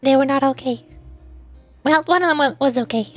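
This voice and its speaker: A woman with a high-pitched voice gives casual, rambling live-stream commentary. She explains things in a chatty way and drops in playful asides. Music plays behind her. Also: a little fast.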